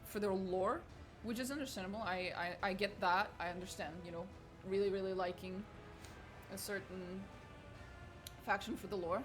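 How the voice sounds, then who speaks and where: A woman's voice: mid-range voice, steady tone, normal speed, over music. Chatty woman streaming a game. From Portugal. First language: Portuguese. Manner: chatty, swears casually, talks to chat. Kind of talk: casual gaming livestream chat.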